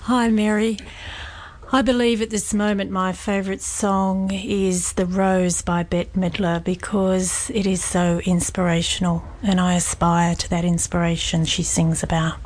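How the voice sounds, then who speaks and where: A woman's voice: lowish voice, level tone, a little slow, darkish tone, rough recording. A woman sharing sincerely in a women's circle. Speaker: group member.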